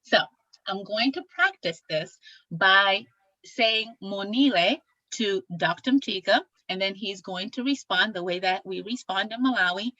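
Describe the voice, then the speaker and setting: Brief: young woman host on a video call, bright forceful voice, dry slightly lo-fi sound, upbeat and animated. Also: medium pitch, a little slow, dry recording. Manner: upbeat, animated, teaching greetings. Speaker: executive director and event host. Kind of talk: enthusiastic online presentation. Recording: slightly dull lo-fi call audio.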